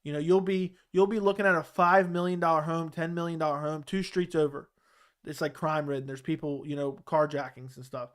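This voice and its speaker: A man's voice: fairly high pitch, fairly even intonation, fairly quick. A young man with a Baltimore accent, hosting a live chat and answering viewer comments in a loose, rambling way. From Baltimore area. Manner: loose, rambling, with fillers and restarts. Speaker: host of a live chat show.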